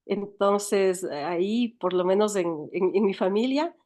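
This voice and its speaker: A woman's voice: medium pitch, slow, warm tone. A woman with an Ecuadorian accent talking reflectively as an interview guest, searching for words and repeating them as she tells her story. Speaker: writer and teacher, interview guest.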